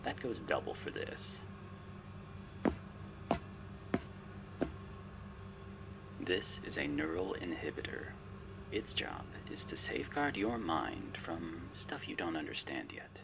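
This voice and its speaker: A man's voice: low-pitched, animated, normal speed, very noisy. A man playing a smug, menacing but playful artificial intelligence guiding a spy in an ASMR roleplay, wry, teasing and theatrical. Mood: cautioning, proud. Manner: wry, teasing, theatrical, often dropping to a whisper.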